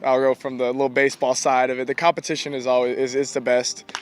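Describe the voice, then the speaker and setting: A young man, a college ballplayer giving casual, informal interview answers with halting repetitions. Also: medium pitch, level tone. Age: young adult. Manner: informal, halting, repeats himself. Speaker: college baseball player being interviewed. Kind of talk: casual post-game style interview answers. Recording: clear outdoor ambient noise.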